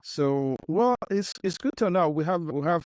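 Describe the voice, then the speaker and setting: A man's voice: on the high side, expressive, moderate pace, darkish tone, no echo, poor audio. A man from Nigeria, a physician hosting an interview, talking emphatically and cutting in with his own opinions. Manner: emphatic, cuts in with reactions and opinions. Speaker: host, physician. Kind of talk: interview conversation.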